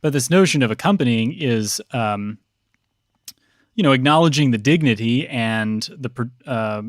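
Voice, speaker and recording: Measured American man, thoughtful priest, dry sound. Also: medium pitch, expressive, no echo. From Texas, United States. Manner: measured, hesitant, thoughtful phrasing. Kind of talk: reflective interview talk.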